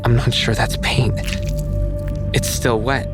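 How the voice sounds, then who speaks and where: A man's voice: mid-range voice, flat delivery, slow pace, close to an everyday voice. A man acting in an audio drama as a skeptical, uneasy true crime podcaster. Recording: music under dramatic dialogue.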